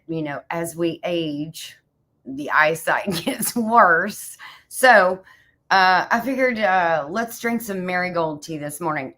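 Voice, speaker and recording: A middle-aged woman, a little raspy this morning, chatting casually as a livestream host and greeting viewers by name. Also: on the low side, pushed and bright. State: slightly raspy from a chill.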